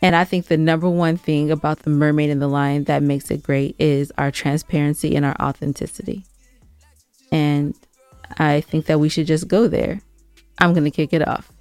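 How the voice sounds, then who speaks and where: A woman with a fairly low voice co-hosts a casual podcast. She speaks directly and insistently, in conversational back-and-forth. The sound is dry, with a little music around it. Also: level tone, moderate pace, darkish tone, smooth, dry recording. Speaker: podcast co-host. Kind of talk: casual banter and chat with co-host.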